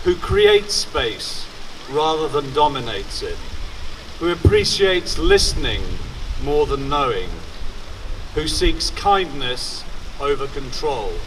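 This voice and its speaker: Man slowly reading a solemn poem outdoors. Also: on the high side, a bit bright. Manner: deliberate, measured, with pauses between phrases. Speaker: poem reader. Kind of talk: solemn poetry reading to a gathering. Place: outdoors at a public gathering. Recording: distant outdoor camera, faint traffic noise.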